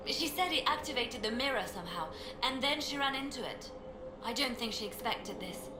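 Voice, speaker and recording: A woman's voice: a little high, animated, moderate pace, over music, close to an everyday voice. An actress playing an anxious, earnest woman urgently bringing alarming news in a fantasy game. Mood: alarmed, urgent. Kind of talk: dramatic fantasy game acting. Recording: echoey, noisy ambience behind.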